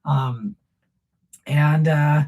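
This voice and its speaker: A man's voice: a little high, drawn-out words, a little mellow. A male host of a live car stream with a scratchy voice from a cold, answering viewer questions in a loose, rambling way while sitting in a room at home. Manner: loose, rambling, thinks aloud. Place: room at home with shelves behind him.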